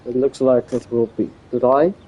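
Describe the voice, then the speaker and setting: A Dutch-speaking man commentating a live-streamed online race league in English, halting and searching for words with many fillers. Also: mid-range voice, steady tone, dark tone. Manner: calls himself a bit boring-voiced.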